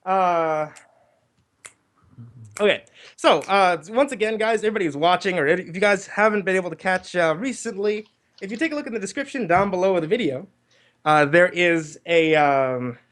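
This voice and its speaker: A man's voice: high-pitched, moderate pace, fairly bright tone. A man hosting a livestream in casual group chat, with hesitant, rambling explanations. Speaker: livestream host.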